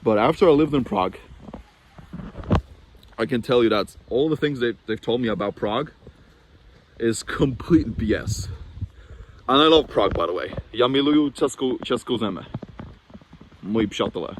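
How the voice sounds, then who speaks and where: A man's voice: mid-range voice, expressive, moderate pace, long pauses, a little hiss or hum, rough recording. A young man from Norway talking casually to camera in a vlog, rambling and chatty, with frequent restarts and fillers. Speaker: vlogger.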